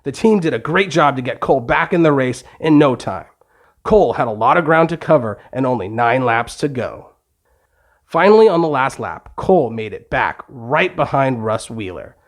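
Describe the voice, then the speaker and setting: A man's voice: mid-range voice, lively intonation, moderate pace. Male storyteller, medium register, for simple scripted children's bedtime narration.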